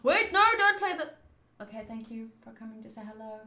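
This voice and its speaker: A woman's voice: fairly high pitch, very animated, fairly quick, pushed and bright, roomy sound. A woman hosting a game live stream, chatting casually in a playful, teasing and informal way. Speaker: live streamer hosting a visual novel playthrough. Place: indoors, home room.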